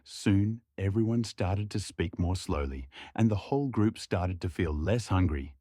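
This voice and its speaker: Low-voiced man narrating a story. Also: lively intonation, unhurried, mellow, dry recording, studio quality.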